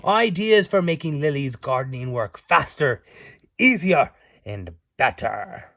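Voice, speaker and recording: Man, storybook read-aloud, slow pace, lively swooping delivery, playful, theatrical. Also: on the high side, gravelly. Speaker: parent reading aloud to children.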